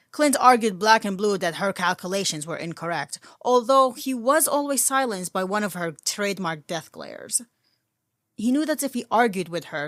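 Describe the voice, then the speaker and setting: A woman's voice: lowish voice, fairly bright tone, no room sound, studio quality. A woman reading fan fiction aloud as a narrator, in a scripted storytelling style.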